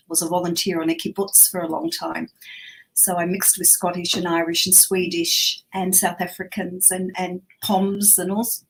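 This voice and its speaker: A woman's voice: fairly low pitch, low-quality recording. A woman co-hosting a casual chat, with a mostly Australian accent blended from many places, talking chattily and laughing easily. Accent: Australian mixed with others. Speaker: co-host.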